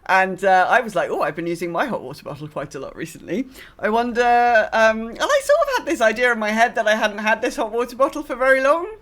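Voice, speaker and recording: A woman's voice: on the high side, sing-song, fast talker, few pauses, fairly bright tone. Hesitant, chatty British woman podcaster. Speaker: podcast host. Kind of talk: casual chat.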